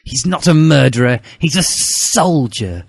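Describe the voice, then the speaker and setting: A man voicing a self-righteous teenage communist zealot in a comic dramatic reading, indignant and emphatic with drawn-out words. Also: mid-range voice, lively intonation, vocal fry, husky, no echo, clean studio sound, pitched above the performer's own voice, heavily acted. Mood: preachy, insistent.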